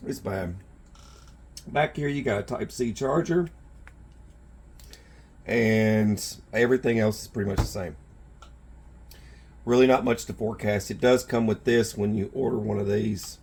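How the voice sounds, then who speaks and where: A man hosting a gear video, talking to viewers in an informal, rambling, folksy way with a rough, husky voice. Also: lowish voice, unhurried, long pauses, a little mellow, a little hiss or hum. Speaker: survival gear video host. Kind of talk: casual product showcase talk to viewers. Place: indoors in a house.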